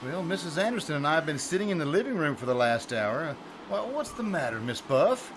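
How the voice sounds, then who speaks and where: A man plays a kindly, concerned male neighbour, hesitant and stammering, his voice swinging up and down. It is a live old radio play with clear noise from the theater. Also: a little high, very animated, a little fast. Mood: concerned, sympathetic. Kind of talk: live old-time radio drama acting. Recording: noisy live theater stage recording.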